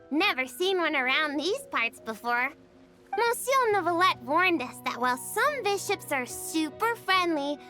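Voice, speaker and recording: Bubbly little girl creature from a video game, super high voice, takes her time, excitable and sweet. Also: exaggerated voice. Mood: relieved, cheerful. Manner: bubbly, childlike, earnest. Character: small cheerful female Melusine creature, excitable gem hunter. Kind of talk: English video game character acting. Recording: game music playing behind the voice.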